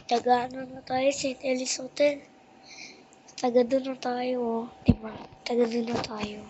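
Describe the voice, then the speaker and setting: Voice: mid-range voice, level tone, measured pace, a little mellow, dull audio. A child with a Filipino accent chatting casually while playing a game, role-playing and repeating short phrases to themself. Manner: repetitive, talking to self in short phrases. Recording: very noisy home recording with clicks.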